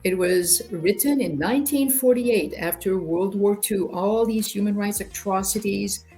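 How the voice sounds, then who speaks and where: A woman's voice: mid-range voice, unhurried, darkish tone, soft background music. A woman from rural Ontario near Quebec, a native French speaker, speaking English as an interview guest and explaining things conversationally, often repeating words. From rural Ontario near Quebec, Canada. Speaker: interview guest, human rights youth organisation director. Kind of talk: conversational personal storytelling in an interview.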